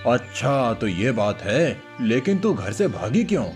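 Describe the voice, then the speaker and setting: A man's voice: medium pitch, sing-song, measured pace. A man voicing a patient, fatherly old cowherd grandfather in a cartoon story. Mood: questioning. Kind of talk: Hindi cartoon moral story dialogue. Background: music.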